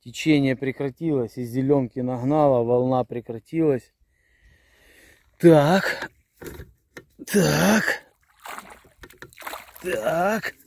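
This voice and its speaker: A man's voice: medium pitch, slow pace, pauses often, darkish tone, no room sound. A middle-aged man vlogging his fishing trip outdoors by a river, talking casually and muttering to himself. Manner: chatty, stumbling, mutters to himself. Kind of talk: casual vlog narration. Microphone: phone held at arm's length.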